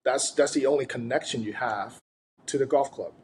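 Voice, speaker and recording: A man's voice: mid-range voice, normal speed, a little hiss or hum. A man from Thailand, a sports coach chatting casually as an interview guest. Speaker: golf coach, podcast guest.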